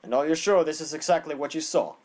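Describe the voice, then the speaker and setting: A man's voice: on the high side, quick pace, music in the background, lightly acted. A man voicing a stern, condescending animal prosecutor with a formal, haughty manner in an audio drama. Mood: smug.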